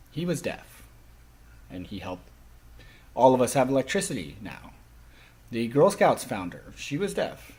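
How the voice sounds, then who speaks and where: A man speaking a lecture to a class, explaining things in halting, phrase-by-phrase delivery. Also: mid-range voice, a little mellow, husky.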